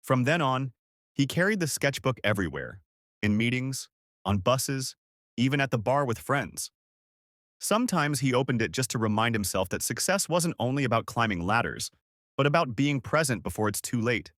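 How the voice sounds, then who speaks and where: A man's voice: medium pitch, lively intonation, normal speed, fairly bright tone, no echo, studio quality. A man narrating a scripted story as a storyteller.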